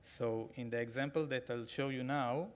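A man in his forties with an Israeli accent, giving a keynote talk with a live demo from a podium microphone, halting with frequent fillers and restarts. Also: mid-range voice, steady tone. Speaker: conference keynote speaker. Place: large conference hall, at a podium.

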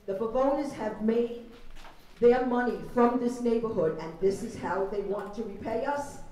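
A woman's voice: a little high, moderate pace, warm tone. Woman speaking in a very echoey gym. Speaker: resident at public hearing. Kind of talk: prepared public comment speech. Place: large school-style gymnasium, public meeting. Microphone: handheld PA heard from far camera. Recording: very echoey gymnasium, distant, audience noise.

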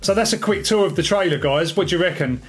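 A man's voice: high voice, fast talker, husky, faint music behind. A man, giving a casual, chatty explanatory vlog as a hobbyist presenter. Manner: informal, chatty. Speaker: radio hobby vlogger.

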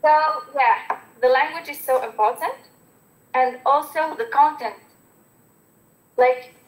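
A young woman with an Algerian accent gives earnest, encouraging advice over a video call, often pausing on fillers and restarting her sentences. Her voice sits high. The call audio is roomy and echoey. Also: fairly bright tone. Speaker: former exchange student giving advice. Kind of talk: online panel talk.

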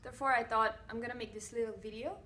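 A woman in her twenties from the part of Switzerland, answering fan questions to camera in a composed, measured way with small pauses. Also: a little high, fairly even intonation, bright, forceful tone. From Italian-speaking Switzerland. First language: Italian and Russian. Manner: composed, measured, slight non-native phrasing with filler pauses. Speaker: blogger and TV presenter. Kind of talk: direct-to-camera Q&A. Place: bright indoor room with wooden floor, seated in chair. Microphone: camera a few feet away.

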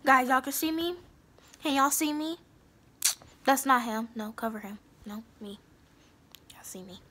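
A woman's voice: high voice, a little slow, fairly bright tone, a little hiss or hum. A young woman casually commenting on a video game at home, bickering with her brother and swearing a lot. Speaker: gaming vlogger.

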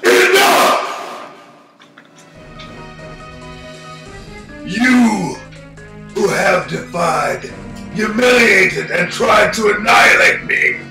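Slow gravelly cartoon monster villain, wrathful and gloating, big swooping delivery, echoey with music. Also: male voice, high-pitched, sharp tone, full character voice. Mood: furious. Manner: grandiose, menacing, drawn-out declaiming. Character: ancient vengeful male monster villain, theatrical, wrathful and gloating. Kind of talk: theatrical villain acting in an animated trailer. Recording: echoing voice over music.

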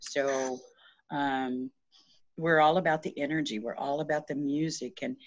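A middle-aged woman speaking earnestly as an interview guest, explaining energy healing in casual conversation with pauses. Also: sits low, steady tone, no room sound. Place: small room at home. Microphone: video call, shared device at arm's length. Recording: dull, muffled video-call audio.

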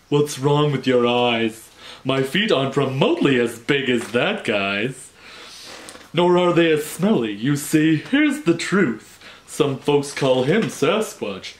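An offended storybook yeti, played by a man, complains in slow, huffy rhyme. The voice is mid-pitched and deliberate, recorded in a roomy home space with some noise. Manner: huffy, deliberate rhyming delivery. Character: indignant, offended female yeti defending itself.

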